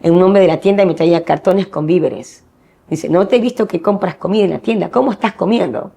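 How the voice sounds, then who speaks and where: A woman's voice: lowish voice, a little fast, gravelly, mellow. A middle-aged woman from Ecuador, a missionary guest giving earnest personal testimony and acting out the dialogue she quotes.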